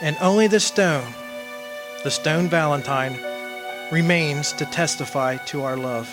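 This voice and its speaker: A man acting lovestruck young suitor, radio drama, earnest, sentimental, slightly high voice, music underneath. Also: a little slow, natural voice. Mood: mournful.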